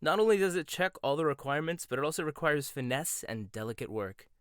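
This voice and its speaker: A man's voice: a little high, animated, fast talker, fairly bright tone, clear, resonant tone, no room sound, studio quality. A young man playing a cocky, condescending rival chef in a boyfriend ASMR roleplay, teasing and smug, often laughing. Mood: bossy. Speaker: voice actor.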